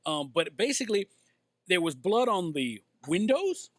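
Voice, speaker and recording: A man co-hosting a casual talk show from a bar, animated and jokey. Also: sits high, measured pace, no echo. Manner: animated, jokey, slips into playful accents. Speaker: co-host.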